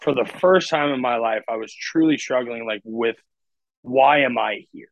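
A man's voice: medium pitch, normal speed, gravelly, no room sound. A man in his twenties, a podcast guest talking candidly and rambling, filling his speech with "like". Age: 20s. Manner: candid, rambling, heavy use of "like". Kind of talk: casual podcast conversation. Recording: dry, dull lo-fi audio.

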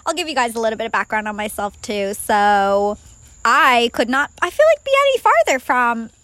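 A woman in her twenties, raised in Ireland with a faint Irish accent, co-hosting a casual podcast chat in a chatty, quick way. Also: fairly high pitch, sing-song, a bit bright, no echo. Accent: slight Irish. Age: 20s. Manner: chatty, laughs easily, quick asides. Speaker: podcast co-host.

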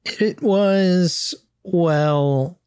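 A woman playing a reluctant, bookish young boy with a deadpan, formal manner in a comedy table read. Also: lowish voice, drawn-out words, background noise. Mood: dry, reluctant.

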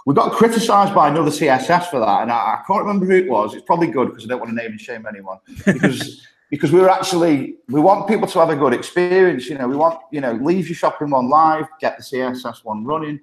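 A man's voice: a little high, expressive, quick pace, reverberant, rough recording. A man from the English Midlands with a thick Midlands accent, talking casually as a podcast guest. He chats loosely, often laughing, with lots of ums and restarts. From Stoke-on-Trent, England. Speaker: guest, agency partnership manager.

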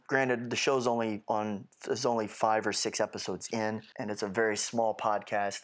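A nasal-sounding man getting over a head cold, hosting his solo podcast with rambling, wry asides. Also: fairly low pitch. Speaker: podcast host.

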